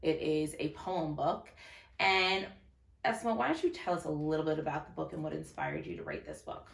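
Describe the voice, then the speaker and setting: A woman's voice: sits low, big pitch swings, moderate pace, gravelly, echoey room. A woman hosting an interview in an upbeat, chatty way. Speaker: interview host. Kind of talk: casual interview.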